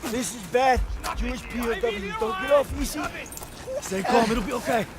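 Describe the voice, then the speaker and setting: An actor playing a loyal, emotional young soldier in a war game, speaking with intensity and urgency. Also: male voice, extremely high pitch, moderate pace. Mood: tense reassurance. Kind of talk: cinematic war game acting. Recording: dramatic music behind the dialogue.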